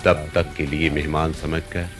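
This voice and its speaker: An actor playing a grave, caring revolutionary comrade in a radio drama, speaking solemnly. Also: male voice, a bass voice, level tone, measured pace, music in the background.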